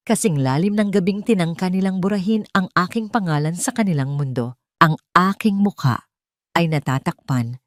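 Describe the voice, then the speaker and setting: Low-voiced woman narrating drama. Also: darkish tone, no echo, studio quality. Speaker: audio story narrator. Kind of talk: dramatic scripted first-person narration.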